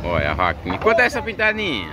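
Lively Northeastern Brazilian man out and about. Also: on the high side, very fast, over a noisy crowd. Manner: colloquial, repeats answers back. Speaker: vlogger and interviewer at a cattle fair. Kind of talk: casual chat, asking sellers prices. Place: outdoor cattle fair among wooden pens. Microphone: handheld phone camera. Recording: noisy outdoor cattle market.

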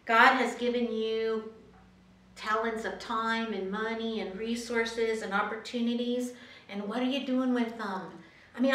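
Echoey higher-voiced American woman preaching. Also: a bit bright. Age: middle-aged. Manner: conversational, asking rhetorical questions with check-ins like "right?". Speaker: pastor. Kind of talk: sermon, explaining parables and reading scripture. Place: small church sanctuary, indoors. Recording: echoey room, distant microphone.

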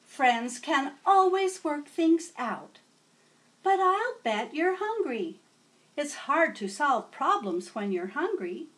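Storybook role: kindly old schoolmistress played by a woman, slow, sing-song delivery, patient with little ones. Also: high-pitched, very animated, fairly bright tone, pitched above the performer's own voice, full character voice. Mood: gently soothing. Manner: sing-song, patient, addressing small children.

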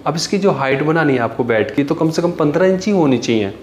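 A young man giving a plain, pause-filled walkthrough tutorial on furniture he built, filmed on a handheld phone inside a finished bedroom. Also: on the high side, expressive, a little mellow. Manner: plain explanatory talk with frequent "jaise ki" and pauses. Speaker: carpenter showing his furniture work. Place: finished bedroom with hard floor and walls. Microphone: handheld phone camera. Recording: echoey room with faint ambient noise.

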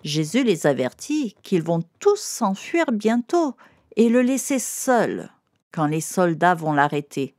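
A woman with a fairly low voice narrates a children's lesson at a slow pace. She explains in simple, didactic terms, speaking directly to a young listener. The sound is dry and close. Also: sing-song, a little mellow, dry recording.

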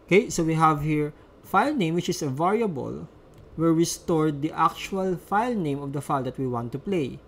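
A man's voice: on the high side, animated, moderate pace, slight background noise. A man presenting a step-by-step instructional screencast as a tutorial narrator.

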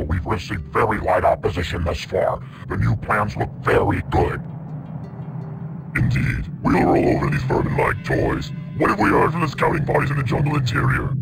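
A gravelly, brutal reptilian commander. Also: male voice, on the low side, very noisy. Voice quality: gravelly, growling. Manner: menacing, barking. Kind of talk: video game cutscene acting. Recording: game audio with music underneath.

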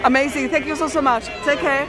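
High-voiced woman vlogging on a busy street. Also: fairly even intonation, quick pace. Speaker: travel vlogger. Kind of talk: casual walking-tour commentary, chatting with locals. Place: outdoors on a crowded market street. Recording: outdoor street with heavy crowd and traffic. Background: busy crowd, vehicles.

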